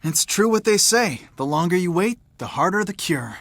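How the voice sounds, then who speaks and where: A man's voice: sits high, lively intonation, moderate pace, fairly bright tone, dry recording, full character voice. A man acting a cocky, blunt, sarcastic young adventurer in a video game.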